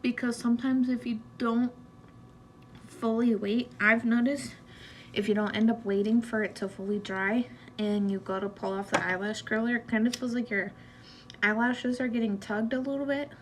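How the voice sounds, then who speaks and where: A young woman walking through a makeup tutorial in a relaxed, chatty way, with loose fillers as she goes. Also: medium pitch, slight background noise. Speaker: beauty vlogger. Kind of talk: casual makeup tutorial narration.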